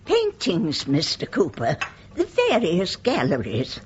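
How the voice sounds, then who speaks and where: A genteel British widow of eighty-four with a very husky voice. She talks slowly, her pitch swooping widely, chatty and courteous with a touch of vanity. It is a radio play on a dull recording. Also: huge pitch swings, heavily acted. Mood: gentle, chatty. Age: older. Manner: courteous, polite formal address. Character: genteel, chatty 84-year-old widow, vain and spirited.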